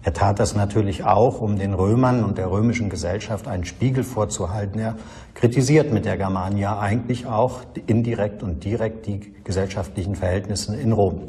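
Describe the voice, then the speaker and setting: A man giving an expert explanation in an interview, deliberate and repeating phrases for emphasis. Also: on the low side, warm tone.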